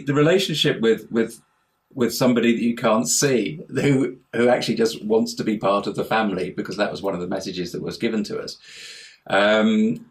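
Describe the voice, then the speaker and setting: Low-voiced man explaining in conversation. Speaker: interview guest, house healer and life coach. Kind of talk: conversational explanation in an online interview. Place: remote internet call.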